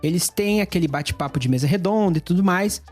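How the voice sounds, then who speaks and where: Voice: mid-range voice, fast, a little mellow, faint music behind. A host with a Brazilian accent, explaining things in an informal, conversational tutorial style. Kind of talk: casual explainer to camera.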